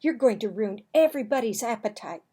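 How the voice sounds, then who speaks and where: A woman's voice: fairly high pitch, animated, fairly quick, dark tone, breathy edge. A woman reading a children's storybook as a stern female lunchroom supervisor, firm and scolding. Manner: firm, reprimanding. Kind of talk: children's storybook read-aloud.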